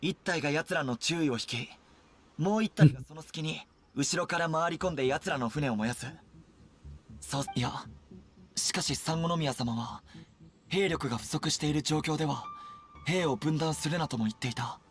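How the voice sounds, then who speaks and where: An actor playing an earnest, dutiful young army captain in an anime game, with a formal military manner. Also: male voice, a little high, fast, lightly acted. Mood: serious, deliberating. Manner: crisp, military, formal recitation and briefing. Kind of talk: Japanese anime game voice acting. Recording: game dialogue over background music.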